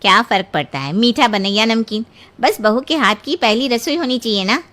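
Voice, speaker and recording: A woman voicing a brisk, matter-of-fact, bossy in-law from a big household in a Hindi cartoon story. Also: on the high side, lively intonation, a bit bright.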